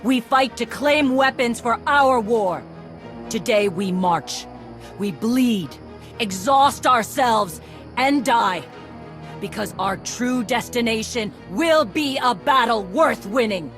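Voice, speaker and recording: An actress playing a battle-hardened clan leader in a video game, blunt and authoritative. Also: female voice, fairly high pitch, animated, slow, fairly bright tone, faint music behind. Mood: rallying. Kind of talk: dramatic video game dialogue.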